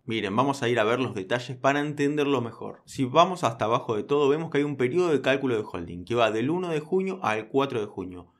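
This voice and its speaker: A man with an Argentine accent, walking viewers through a crypto platform in a casual, direct tutorial voice. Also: medium pitch. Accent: Argentine Spanish. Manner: quick, direct second-person explainer. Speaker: crypto YouTuber. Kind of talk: screen-recorded tutorial.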